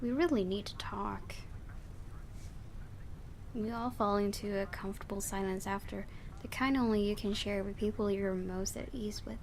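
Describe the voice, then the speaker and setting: A woman's voice: medium pitch, fairly even intonation, moderate pace. A woman reading visual novel narration aloud for a let's play, stumbling over words now and then and adding casual comments. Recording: clearly noisy home recording.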